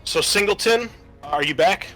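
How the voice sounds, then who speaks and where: Male game master, bright edge, halting rules explanations, music underneath. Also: high-pitched. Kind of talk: running a tabletop roleplaying game, improvised rulings.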